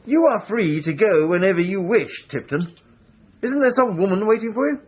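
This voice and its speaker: An actor playing a high-handed Edwardian gentleman adventurer in a radio period drama, grandiloquent and teasing. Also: lively intonation, moderate pace, dull audio, slight background noise. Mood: imperious.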